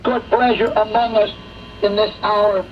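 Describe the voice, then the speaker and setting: Slow woman preaching over noisy radio. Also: medium pitch, steady tone. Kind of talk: sermon. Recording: dull, noisy shortwave radio reception.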